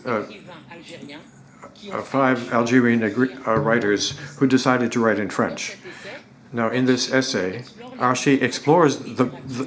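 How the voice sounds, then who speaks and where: Halting man interpreting a lecture. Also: mid-range voice. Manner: halting, frequent restarts and repeated words.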